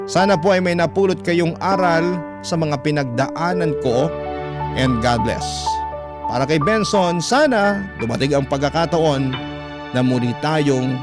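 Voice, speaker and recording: A man with a Filipino accent narrating a letter in an earnest, sentimental, scripted radio storytelling style. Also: a little high. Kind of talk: emotional scripted first-person narration. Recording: loud music under the voice. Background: prominent instrumental music.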